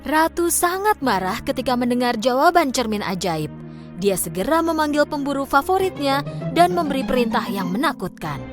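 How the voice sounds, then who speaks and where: Female narrator, high voice, very lively intonation, expressive, scripted children's fairy tale, background music. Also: moderate pace. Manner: expressive storytelling.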